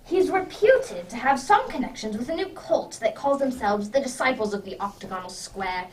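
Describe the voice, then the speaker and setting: A woman playing a brisk, commanding, inquisitive male detective in an amateur radio drama. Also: a little high, lively intonation, a little mellow, distant mic, slight background noise, close to an everyday voice. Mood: decisive.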